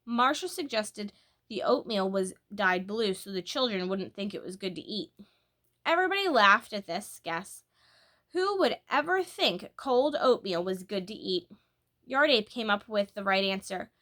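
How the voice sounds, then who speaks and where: A woman reading a children's book aloud as a storyteller, in an expressive, animated way that leans on key words. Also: medium pitch, unhurried.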